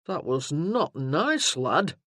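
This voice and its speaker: A narrator voicing a burly, stern former army sergeant who barks orders at a prisoner. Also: male voice, on the high side, sing-song, slow pace, bright, forceful tone, dry recording, studio quality, heavily acted. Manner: barking, commanding.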